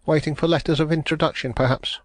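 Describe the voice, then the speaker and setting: A worldly society man trading wry gossip, as a male reader puts him on, pitched a little above the reader's own voice. The recording is close and dry. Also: steady tone, quick pace, dry recording, close to an everyday voice. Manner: wry, knowing banter.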